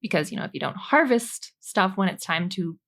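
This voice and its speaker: A woman's voice: mid-range voice, lively intonation, fairly quick, creaky, a bit bright. A woman co-hosting a podcast, explaining tarot cards in an informal, jokey, giggly way that moves between reading notes and casual chat. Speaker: podcast co-host.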